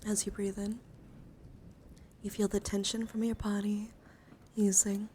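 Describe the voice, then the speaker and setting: A woman livestreamer giving a guided ASMR relaxation in a gentle, soothing, encouraging manner. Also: medium pitch, flat delivery, unhurried, slight background noise. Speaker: livestream host.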